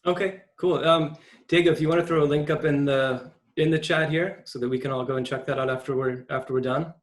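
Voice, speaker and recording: A man's voice: on the high side, fairly even intonation, a little fast. A man hosting an informal online discussion as a film school chair, with an encouraging manner on a video call.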